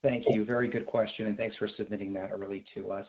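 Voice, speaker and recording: American man, official call host, even low delivery, measured and a bit hesitant, phone-like sound. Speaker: contracts manager hosting a conference call. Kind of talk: formal question-and-answer on a recorded bid call. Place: indoors on a video call. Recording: thin, phone-like video call audio.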